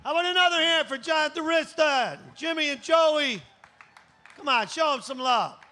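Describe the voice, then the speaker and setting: Very high, bright-voiced male stand-up comic. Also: moderate pace, soft background music. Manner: teasing the audience. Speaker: stand-up comedian. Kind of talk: stand-up comedy routine. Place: live comedy show before an audience.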